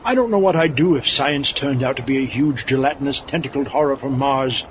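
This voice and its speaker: A man's voice: mid-range voice, a little fast, lightly acted. A man playing a callous, fussy mad scientist in a comedy audio drama, theatrical and hesitant. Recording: dull, noisy sound.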